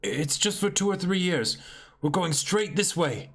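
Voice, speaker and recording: A man as an earnest, slightly high-voiced pirate. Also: lively intonation, unhurried, a bit bright, heavily acted. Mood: reassuring. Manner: earnest, reassuring. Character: earnest, reassuring male pirate saying farewell.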